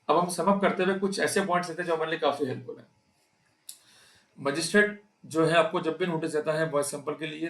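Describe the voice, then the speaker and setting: A man's voice: a little high, gravelly, fairly bright tone. A man with an Indian accent giving an explanatory law lecture, speaking emphatically and addressing his listeners directly. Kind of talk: explanatory law lecture to camera, Hindi mixed with English. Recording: echoey, reverberant room.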